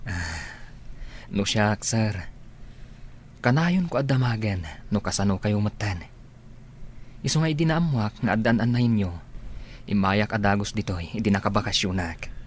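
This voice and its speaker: Humble, friendly Ilocano villager, male actor, radio drama. Deepish, very animated delivery over light noise. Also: moderate pace, pauses often, close to an everyday voice. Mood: respectful, grateful. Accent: Ilocano Filipino.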